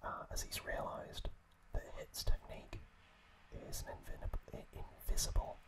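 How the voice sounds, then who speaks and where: A man speaks entirely in a soft, breath-only whisper. He takes his time, moving very slowly through an ASMR-style recap with frequent pauses. His delivery is halting and unhurried. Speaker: ASMR host.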